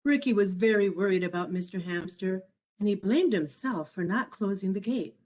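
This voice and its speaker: A woman reading her own children's picture book aloud as a storyteller, in an expressive, measured way. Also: mid-range voice. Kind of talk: scripted storybook narration for children.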